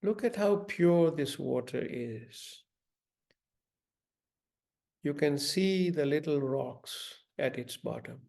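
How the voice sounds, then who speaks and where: A man leading a guided meditation in a gentle, deliberate manner, giving instructions with long pauses. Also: a little high, animated, creaky.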